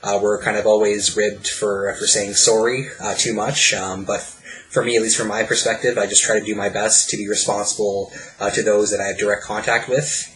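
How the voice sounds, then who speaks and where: A Canadian man giving a spoken album review over slides, pausing often with fillers and restarting his sentences. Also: on the low side, flat delivery, a bit bright, low-quality recording. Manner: hesitant, many fillers and restarts. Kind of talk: presentation voice-over.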